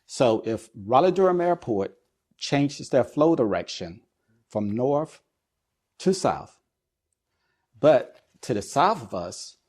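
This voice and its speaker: A middle-aged man answers as the specialist guest in an interview. His voice sits at an average pitch for a man. He lays out explanations in measured, deliberate phrases, often pausing before he goes on. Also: very animated, a little mellow. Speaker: guest expert, air traffic controller and business executive.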